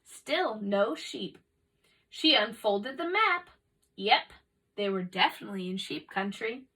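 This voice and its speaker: A woman narrating a children's storybook as an expressive read-aloud. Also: mid-range voice, slow pace, slightly rough, distant mic.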